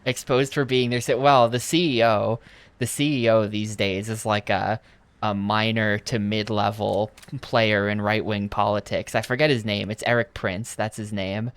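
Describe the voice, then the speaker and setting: A young man from the United States chats over his gameplay in a relaxed, casual way. His voice sits low, and his humor is dry and deadpan. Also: measured pace, clear, resonant tone, no echo. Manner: dry, joking asides, deadpan. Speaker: video game streamer.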